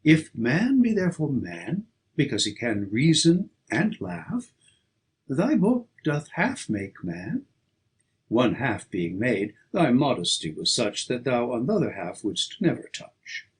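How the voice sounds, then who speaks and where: A man with a markedly rough, breathy-husky voice recites poetry for an audiobook. His delivery is slow and declamatory, swinging widely in pitch around a middling register. He reads with theatrical emphasis. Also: huge pitch swings. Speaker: audiobook reader.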